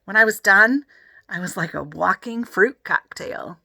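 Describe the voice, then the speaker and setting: A woman's voice: medium pitch, lively intonation, a little slow, creaky, bright, forceful tone, dry recording. A woman reading a children's story aloud expressively. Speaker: audiobook reader.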